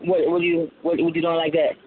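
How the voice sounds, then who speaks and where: A man's voice: on the high side, flat delivery, quick pace. A man from Colombia reporting live from a city street, speaking in a casual, rambling way with many fillers. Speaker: livestream street interviewer. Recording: tinny phone-like livestream audio.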